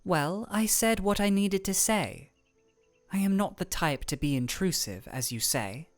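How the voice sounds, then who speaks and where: A woman playing an aloof, regal ice elemental royal in a scripted fantasy audio roleplay, speaking in a formal, measured, deadpan way. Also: mid-range voice, soft background music, natural voice. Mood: cool, matter-of-fact.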